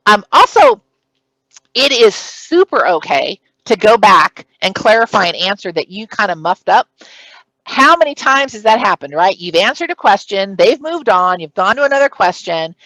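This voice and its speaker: A woman's voice: medium pitch, a bit bright, no echo, low-quality recording. Projecting woman giving interview advice. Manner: projected, emphatic, speaks in numbered points. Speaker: conference presenter. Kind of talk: recorded conference talk giving career advice.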